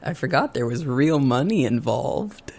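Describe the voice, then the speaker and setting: An actor playing a goofy, mischievous college student in an audio drama, joking and protesting theatrically. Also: male voice, medium pitch, animated, a little slow, creaky, loud music behind the voice. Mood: resigned, wry. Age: young adult. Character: goofy, mischievous male college student, jokey and easily indignant.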